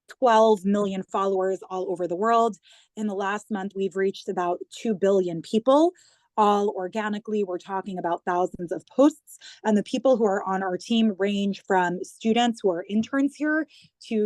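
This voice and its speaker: A woman's voice: mid-range voice, a little mellow, no room sound. A woman in her thirties from New York with an American accent, speaking earnestly and fluently as a guest on a video call. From New York, United States. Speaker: guest, government digital communications head.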